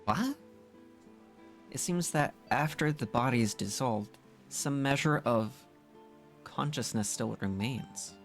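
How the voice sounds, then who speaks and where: Woman, extremely deep voice, slow pace, casual game streamer, reads aloud and reacts. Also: expressive. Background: game music.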